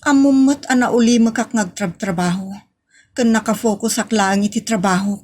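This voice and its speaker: Woman acting a higher-voiced defiant Filipino employee. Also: normal speed, darkish tone, lightly acted. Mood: hurt, defiant. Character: defiant younger female office employee, wronged but proud. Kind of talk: online radio-style drama acting.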